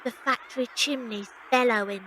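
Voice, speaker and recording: A woman's voice: a little high, steady tone, normal speed, pushed and bright, slight background noise, poor audio. A young woman playing a plucky Victorian circus runaway who narrates her own story. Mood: reflective, wry. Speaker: lead actress and narrator. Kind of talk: first-person audio drama narration.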